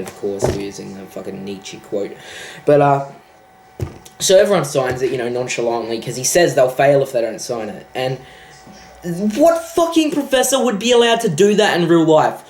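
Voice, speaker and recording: A man's voice: medium pitch, a little mellow, slight background noise. A young man ranting about a film in a sarcastic, profane, exasperated way. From Australia. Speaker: vlogger. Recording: echoey room.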